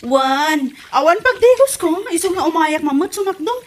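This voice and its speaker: A woman's voice: very high-pitched, lively intonation, moderate pace, slight background noise. A woman with an Ilocano accent playing a pretty, outspoken young village maiden in a comedy audio drama, frank and girlish. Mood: friendly, grateful. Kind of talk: amateur Ilocano comedy radio drama acting.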